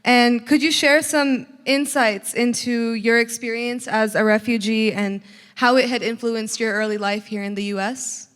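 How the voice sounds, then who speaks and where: A woman's voice: fairly high pitch, fairly even intonation, moderate pace, fairly bright tone, smooth. A young woman, a student interviewer, asking prepared questions in an upbeat way. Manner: upbeat, laughs between questions. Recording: long hall reverb.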